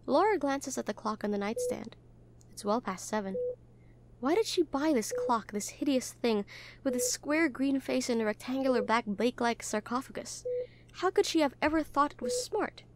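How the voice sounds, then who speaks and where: A woman playing a caring, protective young hospital nurse in an intimate audio roleplay, speaking gently, conversationally and a little hesitantly. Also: sits high, big pitch swings, moderate pace, slight background noise. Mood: calm reading.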